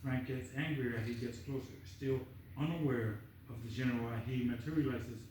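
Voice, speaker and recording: A man's voice: mid-range voice, steady tone, moderate pace, darkish tone, recorded from a distance. A man reading screenplay stage directions aloud as the narrator at a table read. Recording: echoey room, off-mic, faint noise.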